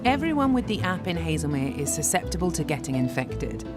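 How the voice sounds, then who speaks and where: Documentary presenter, woman, deep for her sex, measured delivery, music playing under her. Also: very animated. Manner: clear, measured explaining. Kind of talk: scripted documentary narration. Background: background music.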